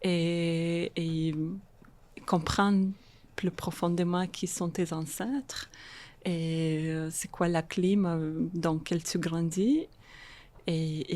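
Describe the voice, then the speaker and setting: A woman from Moldova, speaking French as a guest in a podcast interview, halting, with many restarts and fillers. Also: sits low, warm tone. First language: Russian. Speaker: guest, social worker and art therapist.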